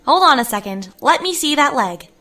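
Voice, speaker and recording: A woman's voice: sits high, big pitch swings, normal speed, pushed and bright, full character voice. A woman playing a sensible, skeptical, dryly exasperated adult unicorn mare in a fan-fiction audio drama, patient and questioning. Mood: concerned. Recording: distant, with clear music behind.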